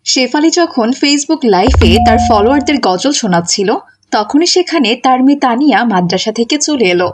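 A woman's voice: fairly high pitch, animated, normal speed. A woman giving scripted narration for a story as its narrator.

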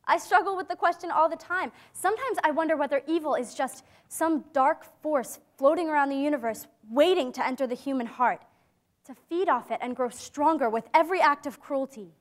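A young woman playing an earnest, hopeful teenage girl in hiding, in a dramatic stage reading with deliberate phrasing. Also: sits high, natural voice. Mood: earnest, pensive. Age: young adult.

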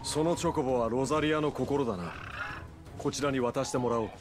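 A man's voice: mid-range voice, fairly quick, loud music behind the voice, close to an everyday voice. A man playing a stoic, earnest young warrior in a video game, speaking in a terse, serious, restrained way. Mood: firm. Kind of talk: dramatic video game voice acting.

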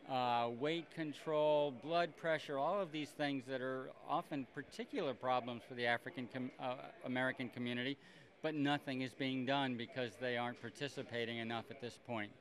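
Direct, emphatic man. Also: mid-range voice. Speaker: interviewee. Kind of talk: documentary interview.

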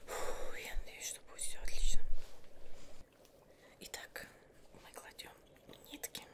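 A young woman whispers softly and breathily in an ASMR roleplay as a witch seamstress, murmuring reassuringly to her client close to the microphone. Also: unhurried. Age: young adult. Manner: soft, murmuring, reassuring, addresses the listener as a client. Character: witch seamstress fussing over fabrics for a client. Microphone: close microphone, facing camera.

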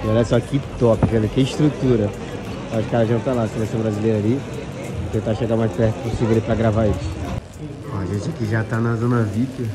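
A man's voice: on the low side, fairly even intonation, quick pace. A man with a Brazilian accent chatting casually for a vlog, slangy and enthusiastic. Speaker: vlogger. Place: busy sports arena and grounds. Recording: loud crowd noise and music behind.

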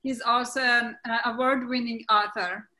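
A woman's voice: fairly high pitch, steady tone, moderate pace, bright, forceful tone. A woman playwright making casual remarks in a group discussion over a video call. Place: indoors, online video call. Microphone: video call microphone.

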